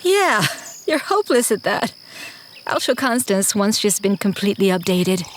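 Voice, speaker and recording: A woman's voice: mid-range voice, big pitch swings, moderate pace, slight background noise, lightly acted. A woman playing a wistful, wry and homesick character in a naturalistic audio drama. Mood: teasing, reflective. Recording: faint outdoor ambience with insects.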